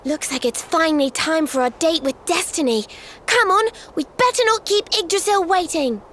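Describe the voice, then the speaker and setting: A woman voicing a blunt, confident young girl mage in a video game, with a brisk manner. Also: extremely high pitch, animated, a bit bright. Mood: eager, bossy. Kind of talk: scripted video game voice acting.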